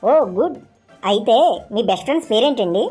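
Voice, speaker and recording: A woman's voice: fairly high pitch, animated, brisk, over loud music, natural voice. A woman voicing a sly, awkward young bachelor in a comic cartoon, talking colloquially with exclamations. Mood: polite, awkward.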